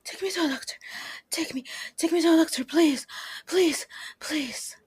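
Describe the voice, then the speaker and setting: A woman's voice: extremely high pitch, normal speed, a little mellow, dry recording. A woman playing a badly wounded, frightened robber in an audio drama, pleading and swearing in pain and distress. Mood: desperate, pleading. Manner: pleading, profane.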